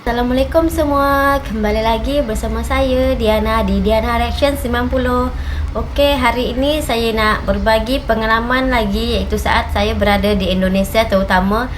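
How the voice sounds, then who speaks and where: A woman's voice: a little high, steady tone, moderate pace, slight background noise. A woman from Malaysia with a Malaysian Malay accent, telling a travel story in a casual, chatty vlog, full of "okey" and "macam" fillers. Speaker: reaction channel vlogger.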